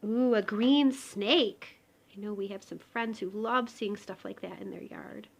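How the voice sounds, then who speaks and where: A woman's voice: medium pitch, a little slow, creaky. A woman reading a children's picture book aloud expressively, talking directly to young listeners. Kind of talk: expressive children's storybook read-aloud with asides.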